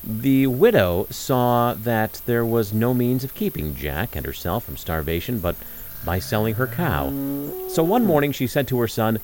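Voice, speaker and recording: A man's voice: mid-range voice, expressive, measured pace, darkish tone, a little hiss or hum. A man narrating a scripted fairy tale as a storyteller.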